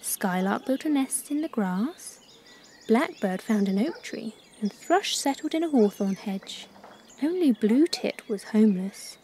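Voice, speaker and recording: A woman narrating a children's story from a script, in an expressive, careful storytelling manner. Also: on the high side, measured pace, slight background noise.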